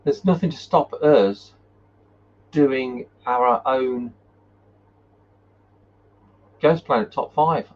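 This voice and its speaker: A man hosting a casual paranormal live chat and reading out viewer comments, talking in an informal, halting way. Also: medium pitch. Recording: muffled, noisy live-stream audio.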